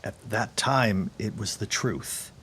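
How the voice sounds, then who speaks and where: An actor playing an earnest, frustrated gentleman neighbor in a period audio drama, speaking formally and emphatically in a husky voice. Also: fairly low pitch, slow, a little hiss or hum. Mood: pleading.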